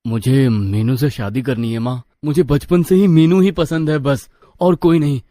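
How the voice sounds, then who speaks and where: A woman's voice: a bass voice, moderate pace, dark tone, dry recording, voice pushed down. A woman voicing an anxious, stubborn young man, a reluctant groom who protests in a cartoon story. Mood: earnest, stubborn.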